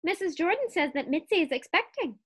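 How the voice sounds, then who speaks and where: Woman acting a very high-pitched, sassy wife. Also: animated, a little fast, a bit bright, dry recording, clean studio sound. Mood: matter-of-fact. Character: sassy, exasperated, playful young sitcom wife. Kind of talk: old-time radio sitcom acting.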